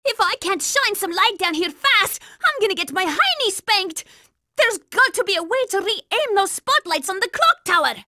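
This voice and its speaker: A woman's voice: very high voice, very animated, normal speed, sharp tone, dry recording, studio quality, heavily acted. A woman voicing a bossy, hot-tempered young girl operative in a cartoon video game, brash and commanding. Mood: determined.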